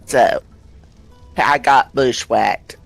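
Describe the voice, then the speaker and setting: A creaky-voiced don played by a man speaks in a plaintive deadpan. He sounds mock-innocent and wronged, role-playing in a game with music behind him. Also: medium pitch, extremely slow, full character voice. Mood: suspicious, deadpan. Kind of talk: improvised game role-play.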